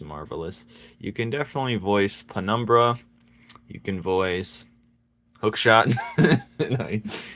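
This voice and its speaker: A man chatting casually with his viewers while he draws, playful and quick to laugh as he banters with them. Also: low-pitched, fairly bright tone. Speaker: streaming artist. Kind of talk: casual chat while drawing.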